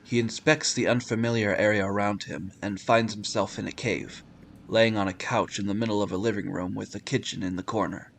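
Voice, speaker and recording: A man's voice: fairly low pitch, fairly even intonation, brisk, gravelly, a little hiss or hum. A man narrating a scripted audio drama as a storyteller. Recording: loud background music under narration.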